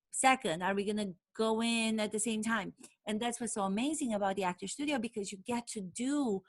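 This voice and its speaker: A woman from Romania, a native Romanian speaker, tells a personal story straight to camera. Her voice sits at an ordinary middle pitch. She is lively and acts out her own inner thoughts as she goes. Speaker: actor and acting coach.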